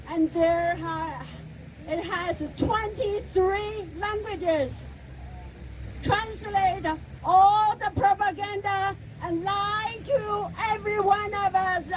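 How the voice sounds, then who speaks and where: A middle-aged woman with a Chinese accent gives an impassioned rally speech outdoors. Her voice sits very high, and she speaks in slow, deliberate phrases that swell into shouts. Faint traffic hums behind her. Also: poor audio. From China. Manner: emphatic, deliberate, rising to shouts.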